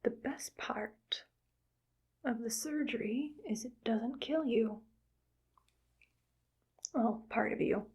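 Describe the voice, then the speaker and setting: A woman's voice: a little high, slow, pauses often. A woman playing a whispering evil scientist. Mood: coolly clinical. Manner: soft whispering, clinical and matter-of-fact. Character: cold, calm female evil scientist harvesting brains. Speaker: ASMR creator. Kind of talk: ASMR roleplay.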